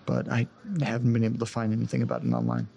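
Actor as a shaken, halting man, husky. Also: mid-range voice, big pitch swings, dark tone, exaggerated voice. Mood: haunted, uneasy. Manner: halting, earnest, breaking off mid-sentence. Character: distressed man recounting a haunted-house ordeal, desperate to get home. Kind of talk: audio drama acting, naturalistic.

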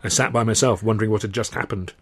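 A man's voice: lowish voice, steady tone, breathy edge, no room sound. English man narrating a novel. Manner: measured first-person storytelling. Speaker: author reading own novel aloud. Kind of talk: dramatised audiobook narration.

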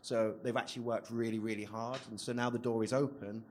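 A man's voice: mid-range voice, level tone, brisk, darkish tone, a little hiss or hum. A man speaking as a writer on a live panel discussion, earnest and rambling a little. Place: event venue, live audience.

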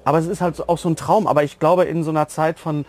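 Higher-pitched man hosting a podcast interview. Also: fairly even intonation, fast talker. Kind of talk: casual conversational interview.

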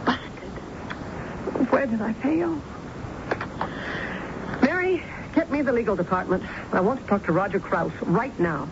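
An actress playing a middle-aged American businesswoman and controlling, wounded mother, brisk and emotional, in 1970s radio drama style. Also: on the high side, lightly acted. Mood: forceful. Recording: muffled, noisy old radio broadcast recording.

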